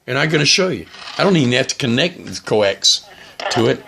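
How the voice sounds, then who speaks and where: A middle-aged man casually explaining and demonstrating as a hobbyist vlogger, in a plain-spoken, unhurried way. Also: medium pitch, sing-song, creaky, sharp tone, a little hiss or hum. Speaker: vlogger, shortwave radio hobbyist.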